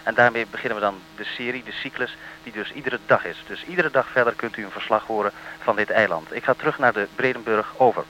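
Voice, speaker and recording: A man's voice: fairly low pitch, quick pace, pushed and bright, muffled recording. A man working as a radio interviewer, asking questions and making announcements without a script.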